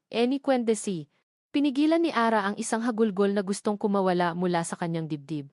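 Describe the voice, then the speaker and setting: A woman reading a scripted dramatic love story as narrator, in a measured, solemn and sentimental way. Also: medium pitch, no echo, clean studio sound.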